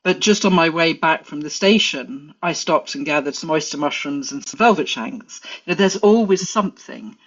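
English woman guest, musing and unhurried, muffled lo-fi recording. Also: low-pitched, animated, breathy edge. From England. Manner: thoughtful, many fillers and restarts. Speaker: guest forager. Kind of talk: casual interview chat.

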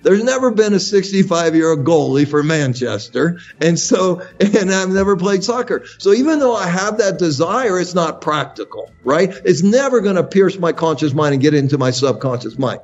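Man in his 60s, radio guest, upbeat coaching delivery, slightly high voice, dull lo-fi sound. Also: expressive, normal speed, hardly any pauses. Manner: enthusiastic, coaching tone with restarts. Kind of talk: radio interview.

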